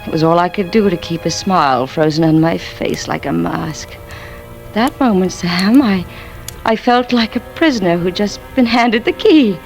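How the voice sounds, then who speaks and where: Haunted, vengeful wife in vintage radio drama. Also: female voice, fairly low pitch, creaky. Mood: feverish, exultant. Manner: dramatic, halting confessional delivery. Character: embittered wife feigning madness, intense and vengeful. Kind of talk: 1940s radio suspense acting. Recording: vintage radio recording with music.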